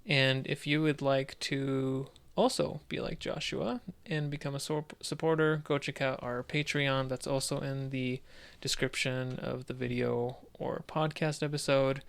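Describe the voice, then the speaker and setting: A man co-hosting a podcast in a casual, halting, tongue-in-cheek way. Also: medium pitch, fairly even intonation, creaky, clear, resonant tone. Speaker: podcast co-host.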